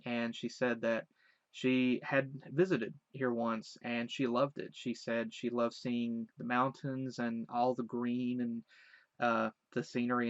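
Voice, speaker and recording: A man's voice: mid-range voice, a bit bright, no room sound, lo-fi sound. Hesitant man with a light Southern accent. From Virginia. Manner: rambling, hesitant, many restarts. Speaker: vlogger. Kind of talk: casual recollection.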